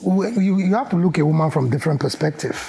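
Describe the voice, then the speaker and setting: A man's voice: on the high side, quick pace, gravelly, dark tone. A man on a talk-show panel, explaining his view in a rambling way, often saying "you know". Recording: faint background music.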